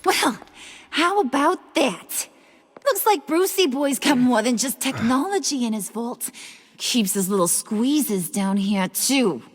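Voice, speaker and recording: Mocking madwoman villain for a game: drawn-out, slangy, swooping lines with long reverb. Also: on the high side, animated, slightly rough, heavily acted. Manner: sing-song, mocking, slangy. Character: taunting, sassy, dangerous madwoman villain. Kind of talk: video game voice acting. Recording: reverberant echoing hall.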